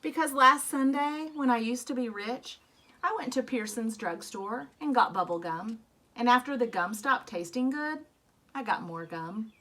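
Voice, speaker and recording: A woman reading a children's picture book aloud expressively, telling it as a young boy, with dramatic emphasis and drawn-out rueful phrases. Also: on the high side, lively intonation, pushed and bright. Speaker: storybook reader. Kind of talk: expressive read-aloud of a children's story in a young boy's first person.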